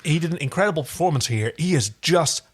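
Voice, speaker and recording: A man co-hosting a casual TV episode recap, talking in an animated, emphatic, conversational way. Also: on the high side, fast, husky. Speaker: podcast co-host.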